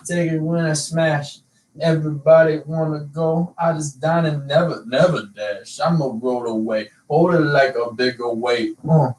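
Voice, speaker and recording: A man's voice: fairly high pitch, measured pace, darkish tone, recorded from a distance. Young man rapping loose in a roomy space. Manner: loose, profane, laughing banter. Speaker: rapper. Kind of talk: casual chat and freestyle rapping. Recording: roomy, from off the mic.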